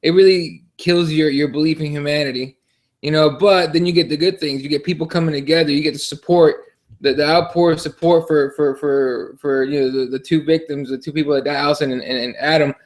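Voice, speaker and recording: A man co-hosting a casual sports talk podcast, speaking in a halting, repetitive way with loose, sweary language. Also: on the high side, steady tone. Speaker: podcast co-host.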